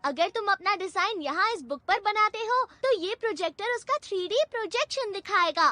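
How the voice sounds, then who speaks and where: A helpful cartoon robot cat with an extremely high, childlike voice. The cat explains things patiently and announces its gadgets, with music clearly playing behind. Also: female voice, lively intonation, moderate pace, bright, forceful tone, heavily acted. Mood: explaining. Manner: explanatory, exclaims gadget names. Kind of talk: dubbed cartoon acting.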